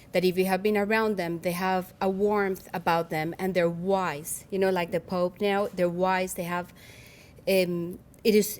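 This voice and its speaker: A woman in her forties with a Colombian Spanish accent, giving a personal keynote speech at a luncheon in an earnest, reflective way, often restarting her phrases. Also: mid-range voice. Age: 40s. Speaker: keynote speaker.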